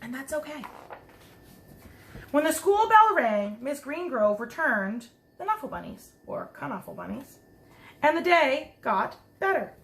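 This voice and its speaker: A woman's voice: sits high, very animated, unhurried, creaky, a bit bright. A woman preschool teacher reading a picture book aloud to children, expressively, with chatty asides and simple explanations. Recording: roomy, echoing sound.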